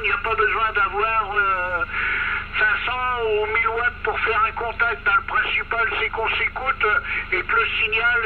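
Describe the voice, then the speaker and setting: Male radio amateur, high voice, casual chat punctuated with tags, thin CB transmission buried in heavy noise. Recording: very noisy, narrow-band CB radio reception.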